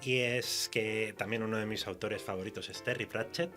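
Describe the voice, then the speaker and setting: Low-voiced Spanish man, halting radio guest. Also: fairly even intonation, sharp tone, soft background music. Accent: Spain. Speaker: guest author. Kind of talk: conversational radio interview.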